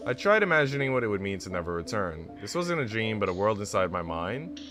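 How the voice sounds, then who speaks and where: A man's voice: on the low side, sing-song, fairly quick. Visual novel heroine narrating read by a man, reflective and unsure, varied intonation, music underneath. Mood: reflective, uncertain.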